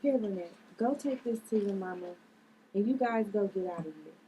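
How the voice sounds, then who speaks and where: A woman reads a generous young woman who protects her friends. Her mid-pitched delivery is plain and earnest, with faint noise behind it. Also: expressive, normal speed, mellow, close to an everyday voice, rough recording. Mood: caring.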